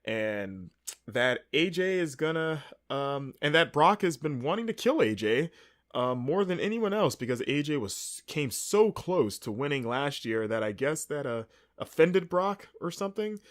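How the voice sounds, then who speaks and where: A man's voice: a little high, big pitch swings, measured pace. Male podcast host with a cold, congested and wry, chatty solo recap. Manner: rambling, wry and sarcastic. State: congested, with sniffles. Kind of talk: casual wrestling recap.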